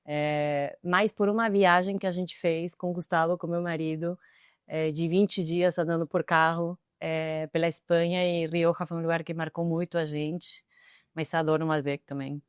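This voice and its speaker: Reflective middle-aged Argentine woman, smooth. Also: deep voice, fairly even intonation, normal speed, no echo, clean studio sound. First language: Spanish. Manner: thoughtful, with frequent hesitant fillers. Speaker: guest, tech executive. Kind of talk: reflective interview answers.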